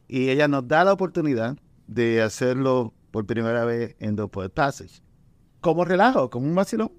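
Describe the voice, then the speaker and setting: A man's voice: medium pitch, very animated, moderate pace, no room sound. A man who grew up between two Caribbean islands, chatting casually about poetry gatherings, often repeating words as he thinks. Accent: Caribbean Spanish. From Puerto Rico and Dominican Republic. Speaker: poet and event organizer. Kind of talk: casual conversation.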